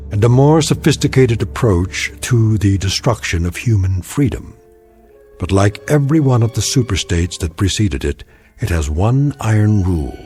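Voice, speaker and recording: A man narrates a radio drama in a grave, measured way, his low voice rising and falling. Quiet music plays behind him.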